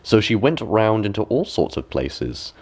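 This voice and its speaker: A man narrating a bedtime fairy tale as a storyteller, with expressive, measured and deliberate phrasing. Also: on the low side. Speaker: storyteller, audiobook reader.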